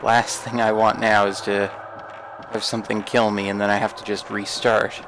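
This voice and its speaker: A man's voice: fairly low pitch, level tone, normal speed, background noise. A man hosting a let's play, giving casual, hesitant, informal game commentary as if talking to himself. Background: horror game audio.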